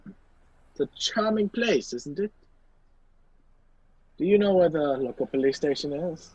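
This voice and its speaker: A husky, very animated gentleman hero of a radio play, acted by an amateur. He is polite, wry and easily flustered, halting and exclaiming. The audio is dull, dry video-call sound. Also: low-pitched, no room sound, full character voice. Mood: wry, urgent. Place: indoors, performed over a video call.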